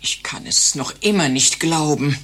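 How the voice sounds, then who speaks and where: An actress playing a chatty elderly widow, husky and gossipy, telling exaggerated tales in a satirical radio play. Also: female voice, deep voice, measured pace, a bit bright, slight background noise. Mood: indignant, aggrieved.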